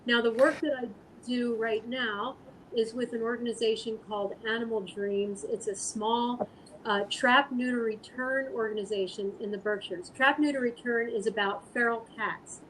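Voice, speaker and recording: A woman speaking as an interview guest, answering casually in an earnest, halting, thoughtful way. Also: fairly high pitch, steady tone, a bit bright, a little hiss or hum, poor audio. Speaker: guest artist and animal rescue volunteer.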